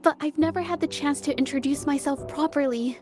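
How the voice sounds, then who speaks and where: A woman performs a shy young female artist in an anime-style game. Her voice sits high, and she speaks earnestly and politely, hesitating and stammering with nerves. Music plays clearly underneath. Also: fairly quick, darkish tone, natural voice. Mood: timid.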